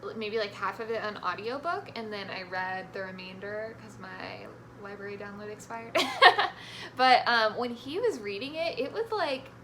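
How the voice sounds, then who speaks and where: A woman's voice: medium pitch, expressive, moderate pace, pushed and bright, a little hiss or hum, low-quality recording. A woman talking casually about books as a guest on a vlog. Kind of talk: casual book chat.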